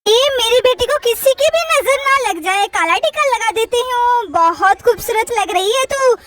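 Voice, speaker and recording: An anxious, affectionate Indian-family-drama mother, acted out by a woman storyteller, fussing over her daughters. The voice is extremely high and bright, full of exclamations in a play-acted story. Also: animated, a little slow, a little hiss or hum, exaggerated voice. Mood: doting.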